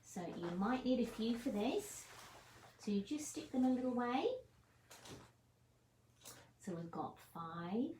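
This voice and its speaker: Female children's presenter, gentle and encouraging, slightly high pitch, echoey room sound. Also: lively intonation, unhurried, long pauses, a little hiss or hum. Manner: encouraging, gentle nursery-teacher talk. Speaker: children's story time and craft presenter. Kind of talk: children's craft demonstration and chat.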